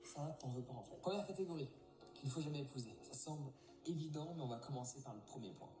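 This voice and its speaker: Brief: male coach, preachy, echoey clip with loud music behind. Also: mid-range voice, fast. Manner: preachy, assertive. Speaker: self-help coach. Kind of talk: lecturing video clips.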